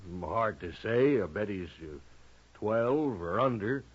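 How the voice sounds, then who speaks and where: An American actor playing an uneasy rural Western rancher in a 1950s radio drama, husky, drawling and halting. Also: male voice, fairly low pitch, animated. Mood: worried. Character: folksy, uneasy frontier rancher and father, hesitant. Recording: dull lo-fi old radio recording.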